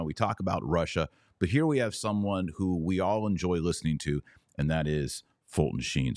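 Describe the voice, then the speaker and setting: A man with a low voice hosts a podcast in a casual, conversational way. The sound is dry and close, with hardly any room in it. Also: dry recording. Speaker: podcast host.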